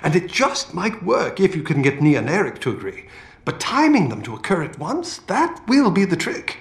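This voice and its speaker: Feisty older British scientist, fatherly, lively intonation, higher voice, game cutscene, echoey room. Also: normal speed, vocal fry, heavily acted. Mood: conspiratorial. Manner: expressive, fatherly, colourful. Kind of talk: video game voice acting. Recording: roomy game audio with music.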